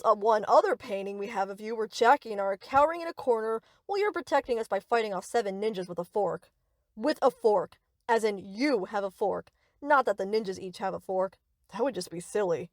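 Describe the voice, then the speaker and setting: A woman's voice: mid-range voice, animated, fairly quick, dry recording, clean studio sound, close to an everyday voice. A woman playing a young yandere captor in a scripted roleplay, speaking to the listener with cutesy, rambling, possessive affection. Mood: affectionate, rambling. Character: sweet, obsessive yandere best friend, young woman captor.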